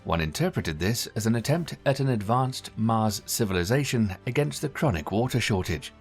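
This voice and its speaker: A man reading scripted documentary narration in a measured, formal way. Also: on the low side, animated, soft background music.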